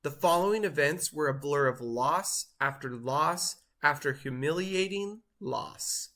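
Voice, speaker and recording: A man voicing a boastful, show-off cheese in a theatrical, grandiose storybook read-aloud with drawn-out emphasis. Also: fairly high pitch, expressive, pushed and bright, exaggerated voice. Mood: astonished, indignant.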